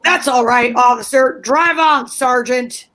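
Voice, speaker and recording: A woman's voice: high voice, measured pace, a bit bright. A woman playing a bossy, scheming madcap wife in old-time radio comedy style, exclamatory and wheedling. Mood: bluffing.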